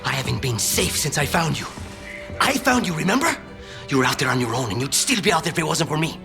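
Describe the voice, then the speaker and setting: A man's voice: a little high, big pitch swings, fast talker, vocal fry. An actor playing a frightened, exasperated everyman pilot in a video game, agitated and sarcastic. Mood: angry, despairing. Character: frantic, exasperated everyman pilot, fearful and bitter. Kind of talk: cinematic video game acting. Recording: loud music behind the voice.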